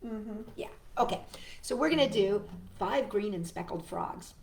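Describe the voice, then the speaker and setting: A woman reading to children at storytime, expressive and playful, talking directly to young listeners. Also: medium pitch, unhurried, gravelly, a little hiss or hum. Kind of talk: read-aloud storytime for children with chatty asides. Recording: echoey room.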